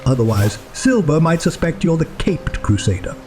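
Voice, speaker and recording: An actor playing a devoted, proper older butler in an audio drama, formal and deferential. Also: male voice, a little high, very animated, moderate pace, a little mellow, soft background music. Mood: dry, deferential.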